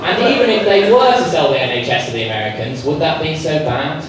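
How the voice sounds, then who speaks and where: Pompous male right-wing columnist played by a comedian, declamatory and outraged, slightly high voice; noisy live club stage. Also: lively intonation, quick pace, close to an everyday voice. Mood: scornful, smug. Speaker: comedy performer reading a satirical column. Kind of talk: live satirical monologue read from a script. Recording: echoey live club recording, distant, loud room noise. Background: noisy club room.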